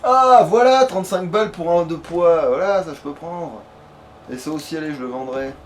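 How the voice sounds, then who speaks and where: A man with a fairly high voice chats his way through a video game. He is informal and sweary, often muttering to himself as he plays. There is clear noise behind him. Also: normal speed. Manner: casual, sweary, muttering to himself while playing. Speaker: let's player. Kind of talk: casual gaming commentary. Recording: clearly noisy background.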